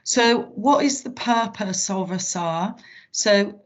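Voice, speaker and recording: A woman's voice: mid-range voice, slow. A British woman giving an online training presentation as a safeguarding trainer, explaining things in an informal, reassuring way over a video call. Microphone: video call microphone. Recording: dull, muffled online call audio.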